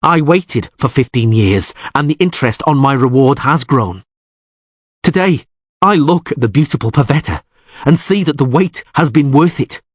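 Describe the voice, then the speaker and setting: A man's voice: mid-range voice, animated, moderate pace, husky, dry recording, studio quality, pitched above the performer's own voice. A man playing a mysterious suitor who declaims his claim solemnly to a court.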